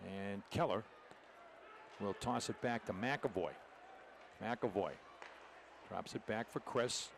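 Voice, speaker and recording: A man's voice: on the low side, takes it slowly, gravelly, pauses often. A man calling live hockey play-by-play as a commentator, describing the action in short, clipped phrases. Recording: noisy arena broadcast, rink ambience behind.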